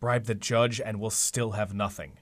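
A man's voice: fairly low pitch, steady tone, moderate pace, lightly acted. A man playing a cynical district attorney in a radio drama, sardonic and confident. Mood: wry, cynical. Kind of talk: fan radio drama acting.

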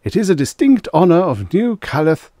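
A man's voice: medium pitch, sing-song, normal speed, a little mellow, no room sound, clean studio sound, pitched above the performer's own voice. A man voicing an effusive, pompous mayor who gushes as if making a grand speech. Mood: gushing, grandiose. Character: effusive, pompous small-city mayor, a man, jovially disbelieving.

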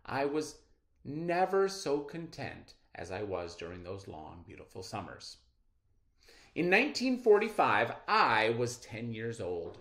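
A middle-aged man teaching a read-aloud reading lesson to children in a deliberate, expressive, explanatory way. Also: medium pitch.

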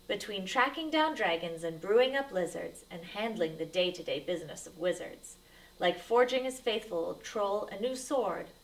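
A woman reads a rhyming picture book aloud for children. Her delivery is dramatic and emphatic, at a medium pitch. She sounds a little distant from the microphone. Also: lively intonation, normal speed. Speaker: read-aloud storyteller.

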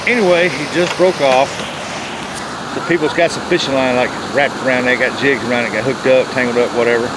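A man's voice: medium pitch, steady tone. A middle-aged man, chatting casually in a folksy, plain-spoken way for an outdoor fishing vlog. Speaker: fishing vlogger. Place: outdoors on a rocky riverbank. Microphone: handheld phone at arm's length. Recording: noisy outdoor phone video, rushing water. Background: rushing river water and outdoor ambience.

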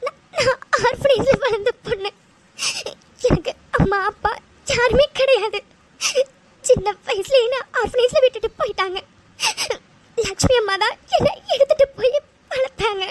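A high, tear-choked young woman's voice, from an actress playing a vulnerable orphan in a romantic drama. She stumbles and repeats her words while crying. A faint hiss sits under the recording. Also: rough recording. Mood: tearful. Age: young adult. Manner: tearful, halting, repeats words.

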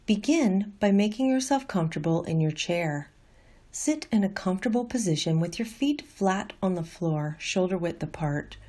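Soft-edged, mellow woman guiding meditation. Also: mid-range voice. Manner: gentle, unhurried, calm instruction. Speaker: meditation instructor. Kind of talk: guided meditation, scripted narration.

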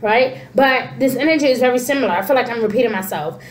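Congested woman in her forties, high-pitched, casual reader to camera in a roomy-sounding small room. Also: fast. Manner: animated hand gestures, frequent "you know" and "okay". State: congested, stuffy nose. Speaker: tarot reader. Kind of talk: casual spiritual reading to camera. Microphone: camera at desk distance. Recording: echoey room.

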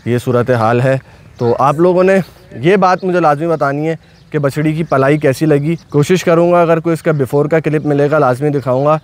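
A man's voice: on the high side, darkish tone. A young man with a Pakistani Urdu accent, a cattle farm owner chatting casually and enthusiastically from behind the camera outdoors. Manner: enthusiastic, repeats blessings often. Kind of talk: casual vlog narration.

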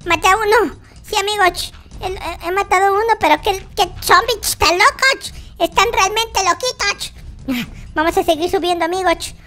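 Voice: very high voice, moderate pace, bright, forceful tone. A gaming YouTuber voicing a boastful, whiny noob baby boy in exclamatory, babyish video game roleplay.

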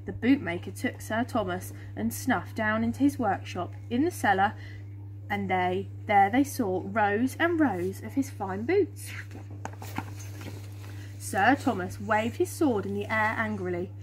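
A woman's voice: mid-range voice, lively intonation, measured pace, poor audio. A woman in her thirties with an English accent, reading a children's picture book aloud. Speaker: storyteller reading to schoolchildren. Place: small fenced garden, outdoors. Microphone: camera or phone a short distance away. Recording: outdoor phone recording with background hum.

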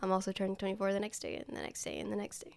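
Twenties girl podcasting w a cold, bit of vocal fry, chatty and rambly. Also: mid-range voice, huge pitch swings, very fast. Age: 20s. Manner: chatty, laughs easily, trailing sentences. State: getting over a cold, dry throat. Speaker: podcast co-host. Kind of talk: casual chat. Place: small studio room with purple lights, indoors. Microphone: studio mic on a stand with headphones.

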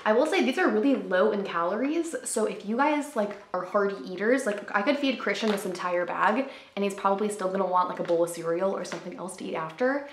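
A woman's voice: medium pitch, fairly quick, hardly any pauses, slight background noise. Chatty young woman in an echoey living room. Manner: chatty, upbeat, lots of "like" fillers. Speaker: lifestyle vlogger. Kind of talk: casual grocery haul chat to camera. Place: living room at home, indoors.

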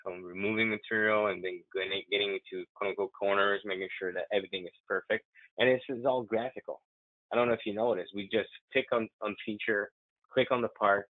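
An eager man talks through a software demo in a low voice, excited about what he shows and often stumbling into restarts. The sound is thin and phone-like, with a dry, close feel. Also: brisk, no echo. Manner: enthusiastic, chatty, many restarts. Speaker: software demonstrator. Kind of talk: live software demo walkthrough. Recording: tinny phone-like, dry audio.

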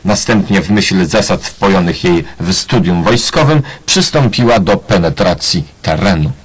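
Male narrator, low husky voice, deadpan ironic storytelling. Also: normal speed. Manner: mock-solemn, tongue-in-cheek. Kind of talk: scripted ironic radio play narration.

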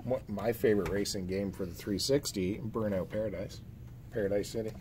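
A man's voice: on the low side, steady tone, fairly quick, darkish tone. A man speaking in casual, off-the-cuff chat as he shows off purchases, recorded indoors on a handheld phone. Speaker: podcast co-host showing collection pickups. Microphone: handheld phone camera mic. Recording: noticeable background noise, amateur phone video.

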